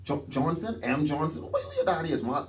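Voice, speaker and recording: A man's voice: fairly high pitch, expressive, brisk, a little hiss or hum. A Bahamian man, a taxi driver, talking on a podcast in an emphatic, preachy rant, often acting out what others say. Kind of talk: casual podcast chat.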